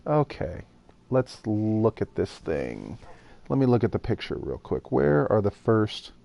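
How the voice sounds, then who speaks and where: A man's voice: fairly low pitch, big pitch swings, a little slow, vocal fry, warm tone. A man streaming a building game live, thinking aloud and chatting with viewers. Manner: thinks aloud while building, talks to chat. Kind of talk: casual live gameplay chat.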